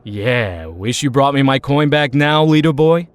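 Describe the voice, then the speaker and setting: A man's voice: medium pitch, animated, unhurried, clean tone, no room sound, studio quality, close to an everyday voice. A man playing a drunk, bitter and jealous young ex-hero in an audio drama, with taunting, resentful outbursts. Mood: mocking, sneering.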